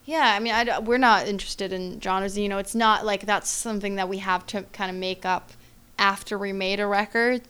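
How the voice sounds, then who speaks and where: A woman's voice: mid-range voice, fairly even intonation, brisk, fairly bright tone, smooth. A young woman, a musician talking easily in an interview with lots of agreeing asides. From Sweden. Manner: easygoing, lots of agreeing yeahs and you knows. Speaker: musician guest. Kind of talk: casual interview chat.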